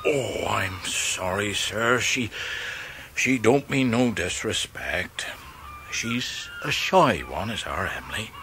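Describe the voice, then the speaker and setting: An actor playing a good-natured, humble seaside fisherman in a radio drama, with a hearty, halting manner. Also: on the low side, lively intonation, sharp tone, slight background noise, heavily acted. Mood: apologetic. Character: gruff, good-natured, large hairy old-time seaside fisherman, kindly uncle. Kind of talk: 1990s radio drama acting.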